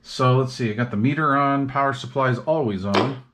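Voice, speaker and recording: A man's voice: mid-range voice, brisk. Laid-back man, noisy recording. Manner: laid-back, slangy, muttering to himself. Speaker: hobbyist vlogger demonstrating radio repair. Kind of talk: casual bench demonstration, thinking aloud. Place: indoor radio workbench. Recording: clearly noisy workbench recording with clicks.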